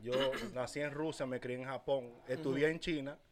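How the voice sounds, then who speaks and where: A man's voice: medium pitch, fairly even intonation, normal speed, crowd noise. A man with a Dominican Spanish accent, a co-host bantering and boasting in a casual group chat. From Dominican Republic. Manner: joking, boastful, loud outbursts. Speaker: show co-host.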